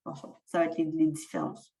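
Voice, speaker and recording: A woman's voice: low voice, level tone, a little fast, mellow, recorded from a distance. A woman with a slight non-French francophone accent presents a workshop, explaining each point with frequent hesitations. Her voice sounds set back from the microphone in an echoing room.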